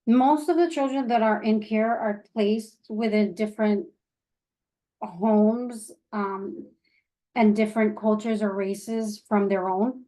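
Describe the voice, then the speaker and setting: A woman co-facilitating a training, working through prepared points slowly and haltingly, full of pauses and restarts. Her voice is soft and mellow. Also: medium pitch, fairly even intonation, rough recording. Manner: hesitant, many ums and restarts.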